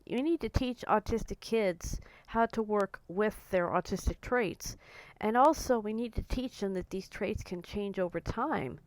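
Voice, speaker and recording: A woman in her forties talking casually to camera in a blunt, outspoken way, her voice a little croaky and hoarse. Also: mid-range voice, a little slow, no room sound, poor audio. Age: 40s. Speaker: vlogger.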